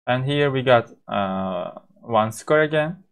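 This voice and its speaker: A man with a Turkish accent, a native Turkish speaker, teaching a course by walking through a software demo, with frequent fillers and halting restarts. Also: medium pitch, lively intonation, vocal fry, clear, resonant tone. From Turkey. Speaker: course instructor.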